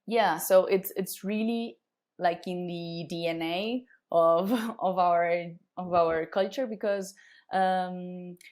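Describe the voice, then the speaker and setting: Chatty young Argentine woman, somewhat low voice. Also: fairly even intonation, takes it slowly, a little mellow. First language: Spanish. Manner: laughs often, restarts with many fillers. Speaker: guest. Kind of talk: casual podcast interview.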